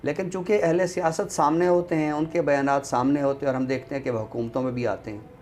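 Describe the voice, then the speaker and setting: A man with a Pakistani accent speaks as a guest in a thoughtful discussion, formal and deliberate, pausing often. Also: fairly high pitch, steady tone, a little mellow, a little hiss or hum. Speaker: guest commentator on a literary show.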